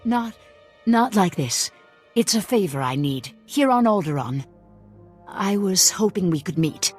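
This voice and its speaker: Husky, lovelorn middle-aged woman. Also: medium pitch, lively intonation, measured pace, faint music behind. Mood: wary, hesitant. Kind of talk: dramatic video game cutscene acting.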